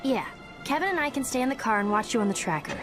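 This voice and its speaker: Teen heroine, female actor: high, very expressive, brisk and assertive, game cutscene under loud music. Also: close to an everyday voice. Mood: firm, helpful. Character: sensible, assertive teenage girl, hero's cousin. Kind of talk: animated game cutscene acting.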